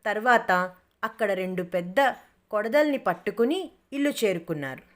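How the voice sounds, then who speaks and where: A woman's voice: mid-range voice, lively intonation, moderate pace. A woman storyteller reading a scripted moral tale as narrator.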